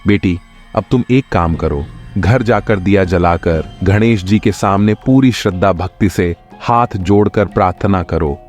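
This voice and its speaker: A kindly older priest voiced by a man speaks low and solemnly, offering reassurance in an animated horror tale. Faint music sits behind him. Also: unhurried. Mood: reassuring. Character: calm, kindly older male temple priest. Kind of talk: animated horror story voice acting.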